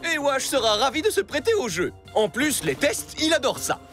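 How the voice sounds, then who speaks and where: A man voicing an excitable, proud dog owner in a cartoon. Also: extremely high pitch, moderate pace, soft background music, exaggerated voice. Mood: eager, proud. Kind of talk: animated cartoon acting.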